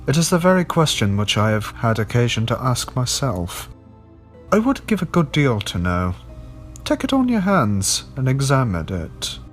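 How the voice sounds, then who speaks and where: A man voicing a learned, knowing gentleman collector in a horror story, lecturing formally and deliberately. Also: mid-range voice, very animated, soft background music, pitched above the performer's own voice, lightly acted. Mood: knowing, probing.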